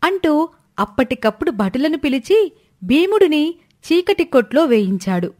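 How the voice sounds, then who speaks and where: A woman narrating a scripted moral story in an expressive storytelling style. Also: high voice, moderate pace. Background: background music.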